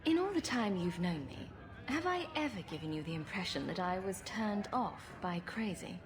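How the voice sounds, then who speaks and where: A woman's voice: mid-range voice, big pitch swings, normal speed, a crowd in the background, natural voice. A woman playing a sarcastic, playful adventurer hero in a video game, speaking with dry humor. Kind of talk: video game dialogue acting.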